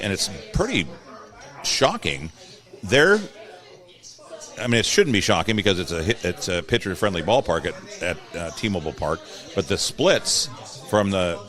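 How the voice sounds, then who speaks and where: A man talking casually about sports as an interview guest, with a measured, halting delivery. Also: deep voice, a bit bright. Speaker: guest, PA announcer and post-game radio host.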